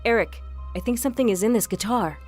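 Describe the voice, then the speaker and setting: A woman's voice: a little high, quick pace, darkish tone, recorded from a distance, close to an everyday voice. A woman playing a determined police inspector who narrates her case in an audio crime drama. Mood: composed, narrating. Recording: music behind the voice.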